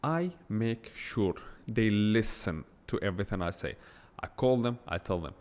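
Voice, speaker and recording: Blunt, emphatic man with a lowish voice. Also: a little slow, a little mellow, in a large hall. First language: not English. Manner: blunt, emphatic storytelling with rhetorical questions. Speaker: podcast host. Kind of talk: unscripted solo podcast monologue.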